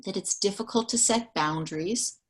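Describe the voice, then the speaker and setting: A woman conducting a structured survey interview, calmly reading questions and summarizing the answers back. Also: medium pitch, moderate pace. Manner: calm, methodical, reads questions and summaries back.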